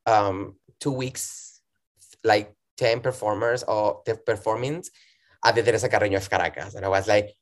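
A middle-aged man from Venezuela with a Venezuelan accent, speaking English as a second language as an interview guest, animated and given to restarts. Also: lowish voice, moderate pace. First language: Spanish. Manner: animated, restarts often, acts out his own past words. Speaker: guest performer. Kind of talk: casual interview chat.

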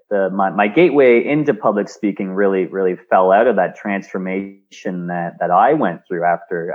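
Canadian man, interview guest, lowish voice, keeps repeating words, muffled remote call sound. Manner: frequent word repeats and restarts. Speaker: guest, speaker and engineer. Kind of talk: remote interview, reflective storytelling.

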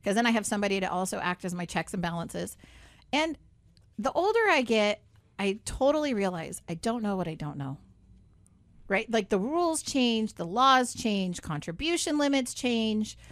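A woman's voice: medium pitch, very animated, moderate pace, dry recording. A woman hosting a radio show in a studio, talking in a chatty, upbeat way with many asides. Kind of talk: casual talk radio chat.

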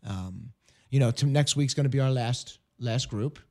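Hoarse-voiced male radio host. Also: medium pitch, dark tone. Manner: earnest, halting with many restarts. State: hoarse from illness. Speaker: ministry program director.

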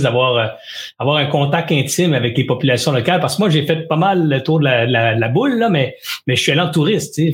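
A man with a Québécois French accent hosting an interview, reacting with enthusiasm and asking questions in a conversational way. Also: mid-range voice. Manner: enthusiastic, quick to react with wows. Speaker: podcast host.

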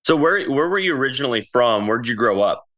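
A man's voice: mid-range voice, expressive, fast talker, pushed and bright. A man hosting a podcast interview, asking questions in a friendly, chatty way. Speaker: podcast host. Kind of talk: casual interview chat.

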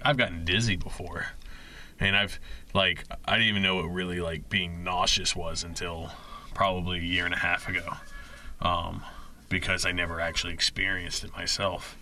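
A man's voice: deep voice, bright, forceful tone, a little hiss or hum. A man co-hosting a casual podcast interview, talking in a rambling, chatty way. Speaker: podcast co-host, bartender.

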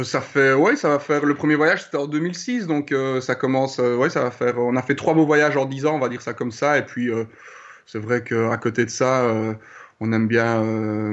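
A Belgian man in his thirties with a Liège accent, speaking hesitantly as an interview guest, full of "euh" and pauses. His mid-pitched voice comes over a slightly dull, muffled call line. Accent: Belgian French, Liège. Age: 30s. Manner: hesitant, many pauses and fillers. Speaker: guest, traveler. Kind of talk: remote interview.

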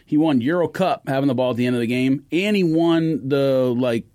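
A man's voice: medium pitch, moderate pace, dark tone, no room sound, studio quality. A man co-hosting a podcast, debating sports casually in slangy, dismissive, argumentative talk. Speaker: podcast co-host.

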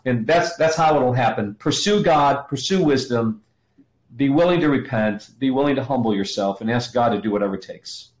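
Male guest teacher, middle-aged or older, average pitch, explanatory and emphatic, strongly roomy sound. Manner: explanatory, emphatic pauses, rhetorical questions. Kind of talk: teaching in conversation, quoting scripture.

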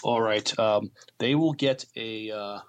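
Slightly low-pitched man, halting podcast co-host. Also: slight background noise. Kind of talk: casual chat.

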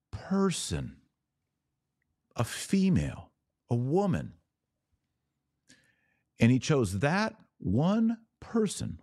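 Male podcast host, low voice with lively swings, emphatic religious explainer, punches key words. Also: no room sound, clean studio sound. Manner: emphatic, repeats key words for stress, rhetorical questions.

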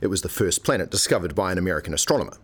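A man's voice: low-pitched, expressive, rapid-fire, husky, full character voice. A man playing a sardonic, mock-pompous academic colleague in a scripted radio play, given to lecturing. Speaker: supporting actor in a radio play.

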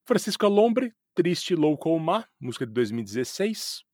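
Guy hosting a music show, kinda husky, chatty w/ little jokes, dry close mic sound. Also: on the high side, sing-song, moderate pace, a little mellow, dry recording. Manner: chatty, with playful asides. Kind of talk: radio-style music commentary.

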